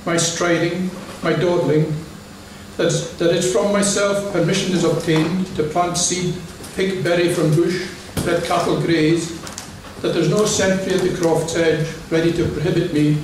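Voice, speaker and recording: A man reading his poetry to an audience, in a measured, declamatory way with brief spoken asides. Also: sits high, steady tone, noisy background. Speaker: poet. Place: large church hall. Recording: echoey church hall from far off.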